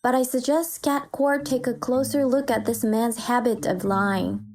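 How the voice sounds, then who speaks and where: A woman's voice: on the high side, fairly even intonation, measured pace, darkish tone, soft background music, close to an everyday voice. A woman playing an indignant, fed-up working wife and mother in a manga dub, firm and articulate. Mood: firm, cold. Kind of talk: manga dub acting and first-person story narration.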